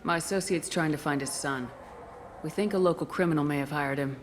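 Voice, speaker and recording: Woman playing a low-voiced, direct military commander. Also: a little fast, noisy background, close to an everyday voice. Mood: concerned, businesslike. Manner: direct, controlled, businesslike. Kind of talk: dramatic game voice acting.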